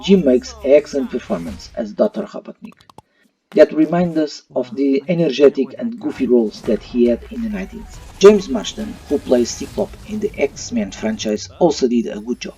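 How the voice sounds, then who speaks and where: A man's voice: mid-range voice, lively intonation, moderate pace, warm tone. A man who speaks English as a second language, hosting an opinionated video review with non-native phrasing. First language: not English. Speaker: host of a retro gaming video channel. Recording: distant mic, faint music underneath.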